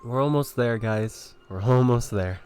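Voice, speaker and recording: A man's voice: medium pitch, measured pace, darkish tone, smooth, soft background music. Man casually commentating on a video game. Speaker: game streamer.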